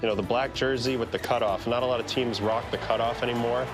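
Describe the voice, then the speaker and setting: A man's voice: medium pitch, fairly even intonation, fast talker, over music. A man, a former ballplayer, reminiscing casually in an easygoing way. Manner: easygoing, filler-laden. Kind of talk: casual reminiscing in a promo clip.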